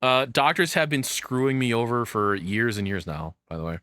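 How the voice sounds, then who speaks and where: A man's voice: medium pitch, expressive, moderate pace, fairly bright tone, dry recording, clean studio sound. A man giving casual, rambling reaction commentary, swearing freely in an informal way. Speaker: reaction video host.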